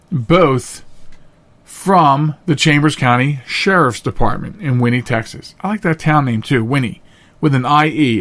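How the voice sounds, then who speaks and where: A male podcast host with a fairly high-pitched voice talks straight to his listeners. He is earnest and emphatic, often saying a phrase again to make it land. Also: fairly bright tone. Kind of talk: solo talk show monologue, directly addressing listeners.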